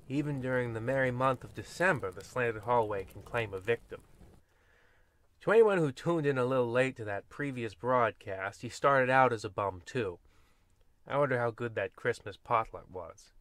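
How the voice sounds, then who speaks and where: A man's voice: medium pitch, moderate pace, a bit bright, no room sound, natural voice. Mock-ominous horror anthology narrator, male actor, wry and wordy, mid-range, dry sound. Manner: droll, mock-ominous, rambling. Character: wry, macabre male host of horror radio tales. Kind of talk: old-time radio anthology narration.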